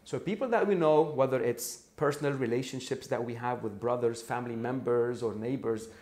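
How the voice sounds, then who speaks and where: Animated Arabic-native businessman telling stories. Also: medium pitch, fairly quick. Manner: animated, acts out anecdotes with sound effects. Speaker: sales trainer guest. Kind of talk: storytelling interview answer. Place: studio set with orange backdrop.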